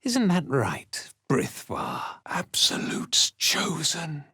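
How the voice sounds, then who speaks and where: A man's voice: a little high, huge pitch swings, extremely slow, bright, forceful tone, slightly rough, dry recording, clean studio sound, exaggerated voice. A man playing an arrogant, cruel drow zealot commander in a fantasy video game, pompous and sneering with disdain. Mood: haughty.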